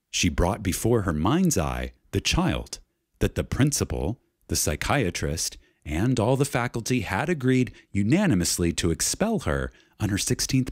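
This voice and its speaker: A man reading a spiritual lecture aloud in a reverent, dramatic way, solemn and emphatic, with deliberate pauses. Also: on the low side, breathy edge, no echo, clean studio sound.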